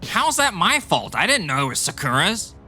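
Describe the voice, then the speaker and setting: A man's voice: high-pitched, big pitch swings, normal speed, bright, forceful tone, husky, faint music behind, exaggerated voice. A man voicing an arrogant, callous, easily irritated husband in a manga dub, dismissive and self-important. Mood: indignant. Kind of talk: dramatized manga dub dialogue.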